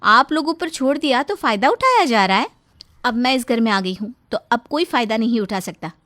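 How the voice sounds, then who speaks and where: A woman's voice: a little high, animated, a little fast, fairly bright tone, no echo, clean studio sound, voice pushed up. A woman voicing a kind, principled young daughter-in-law, earnest and firm, in a storybook tale. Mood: scolding. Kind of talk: storybook character acting.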